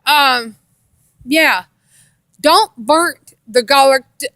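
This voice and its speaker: A woman's voice: high-pitched, drawn-out words, fairly bright tone, dry recording. An American woman telling a casual personal story in a rambling, repetitive way with folksy grammar. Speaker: storytelling vlogger.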